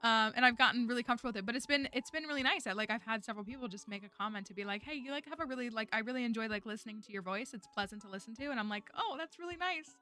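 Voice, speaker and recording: Bright-voiced young woman streamer rambling. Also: fairly high pitch, rapid-fire, few pauses, smooth. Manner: rambling, filler-heavy, frequent restarts and laughs. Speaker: streamer and online teacher. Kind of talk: casual livestream chat. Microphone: headset or desk mic. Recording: music bed playing faintly underneath.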